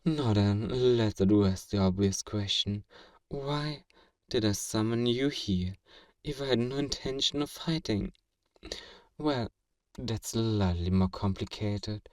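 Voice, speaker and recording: A man playing a feared fantasy villain in a flirtatious audio roleplay, measured, amused and condescendingly courteous, in an intimate ASMR acting style. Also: fairly low pitch, studio quality. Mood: musing, intrigued. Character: feared male fantasy villain, suave, teasing, flirtatiously obsessive. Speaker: voice actor performing a roleplay. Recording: roomy echo, distant from the mic.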